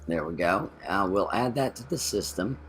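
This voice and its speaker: A man's voice: low voice, expressive, normal speed, a bit bright, faint music behind. A man co-hosting a gameplay video, thinking aloud as he plays and correcting himself mid-sentence. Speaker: gameplay channel co-host. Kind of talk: casual gameplay commentary.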